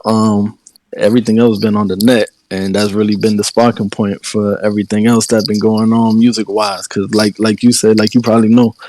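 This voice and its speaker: A man's voice: on the low side, a little mellow, no echo, poor audio. A man from Delaware who raps, answering interview questions in laid-back slang and often saying "you know what I mean". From Delaware, USA. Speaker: rapper guest. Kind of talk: casual interview chat.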